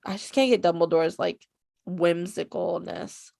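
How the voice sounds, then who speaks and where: Relaxed woman with a creaky voice. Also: fairly low pitch, unhurried, dry recording, studio quality. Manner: encouraging, relaxed. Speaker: co-host. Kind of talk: casual fan chat.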